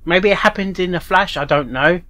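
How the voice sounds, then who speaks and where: Halting, repetitive reaction host. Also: mid-range voice, noisy background. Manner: halting, repeats phrases. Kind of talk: casual reaction commentary.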